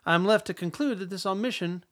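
An actor playing a proud, cold government secretary in a radio play, speaking in pompous, clipped, formal sentences. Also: male voice, high voice, fast talker, a bit bright. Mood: stern.

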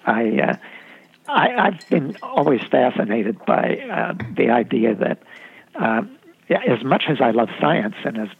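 A man, a novelist, talking reflectively about his writing in an interview, halting often with fillers and restarts. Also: medium pitch, big pitch swings, creaky, crowd noise. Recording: tinny phone-line sound.

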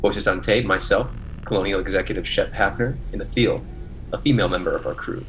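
A man's voice: sits low, fairly quick, a bit bright, a little hiss or hum, poor audio. A man playing an earnest, defensive biologist on a colony planet in a classic radio play, emphatic and easily flustered. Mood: formal.

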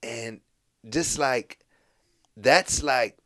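An American man talks at an ordinary middle pitch, easygoing and chatty, circling back with "like" and "you know". He loops and restarts his sentences as he tells his stories. His voice sounds dry and close, with no room echo. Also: extremely slow, pushed and bright. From United States. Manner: laid-back storytelling with lots of fillers and restarts. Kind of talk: casual podcast conversation.

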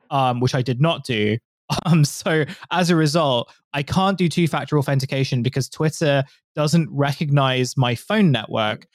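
A man's voice: medium pitch, no room sound. Rambling male podcast host, dry sound. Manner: rambling, lots of fillers and restarts. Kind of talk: casual chat.

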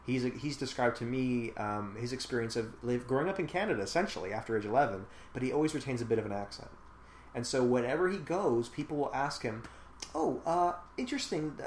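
A man in a casual film discussion, talking thoughtfully at length with many fillers. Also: medium pitch. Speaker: podcast host discussing a film. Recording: echoey room with faint noise.

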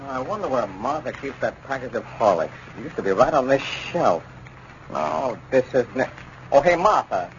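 An actor playing a bossy, exasperated husband with an American accent, insistent and lecturing in a 1930s radio advertisement. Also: male voice, medium pitch, animated, moderate pace, close to an everyday voice. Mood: irritated. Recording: muffled, hissy 1930s radio recording.

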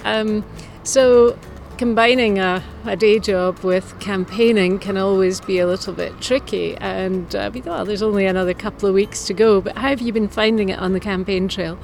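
Mid-pitched woman hosting an interview podcast. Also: fairly even intonation, moderate pace, creaky, a little mellow, faint music behind. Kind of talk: podcast introduction and interview questions.

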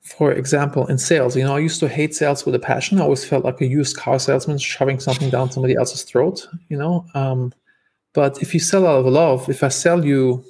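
German-accented man with a gravelly voice. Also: mid-range voice, steady tone, fairly quick, darkish tone. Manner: talkative, many fillers and restarts. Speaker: podcast guest, entrepreneur. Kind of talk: casual interview storytelling.

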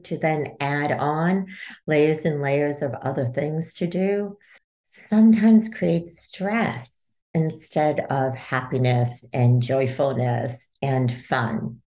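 A woman leading a guided meditation as a podcast host, giving soothing, unhurried instructions. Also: sits low, a little mellow.

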